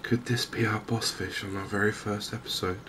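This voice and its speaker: A man giving softly spoken, cozy gaming commentary in a gentle, unhurried, musing way. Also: on the low side. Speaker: gaming video host. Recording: clear steady ambient background noise.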